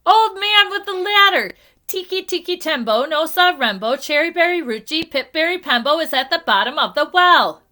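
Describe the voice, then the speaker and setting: A woman voicing a small, frantic boy who is out of breath and shouting urgently. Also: sits high, normal speed, hardly any pauses.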